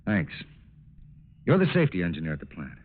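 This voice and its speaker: An actor playing a calm, businesslike FBI agent in a 1940s radio drama, terse, polite and purposeful. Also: male voice, deep voice, brisk, heavily acted. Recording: tinny narrow-band old radio recording.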